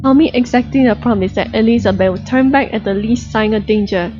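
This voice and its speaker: A woman's voice: fairly high pitch, fairly quick, a little mellow. A woman reading a storybook aloud as a scripted narration. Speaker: audiobook narrator. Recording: dull lo-fi recording, music behind. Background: background music.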